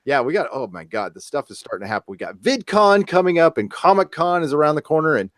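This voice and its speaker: A man hosting a podcast in casual chat, with a halting, stammering, jokey delivery. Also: fairly high pitch, very animated, dry recording, studio quality. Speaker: podcast host.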